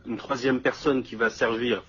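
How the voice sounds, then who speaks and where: Man, heavily rough and husky voice, explanatory conversational delivery, muffled low-quality recording. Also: flat delivery, normal speed, a bit bright. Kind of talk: casual two-person discussion. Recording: dull lo-fi audio.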